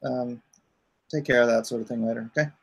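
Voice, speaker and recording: A man's voice: medium pitch, steady tone, fairly quick, breathy edge. A man hosting a casual livestream chat, rambling and thinking aloud. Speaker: comic artist hosting a livestream.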